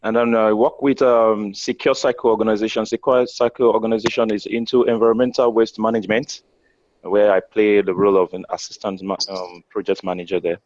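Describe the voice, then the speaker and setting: Low-voiced man on a video call. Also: flat delivery, darkish tone, no echo. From Nigeria. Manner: formal, measured self-introduction. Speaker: call participant. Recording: dull dry video-call audio.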